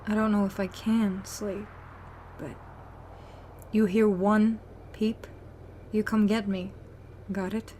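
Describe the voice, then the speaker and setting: A woman's voice: medium pitch, level tone, slow, pauses often, mellow, slight background noise, close to an everyday voice. A woman playing a tough, protective young survivor in an amateur audio drama, curt and bossy. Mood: weary, stern.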